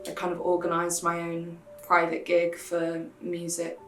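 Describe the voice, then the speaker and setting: A woman's voice: fairly low pitch, monotone, unhurried, far from the mic. A nineteen-year-old woman from England answering interview questions. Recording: echoey room. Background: soft guitar music.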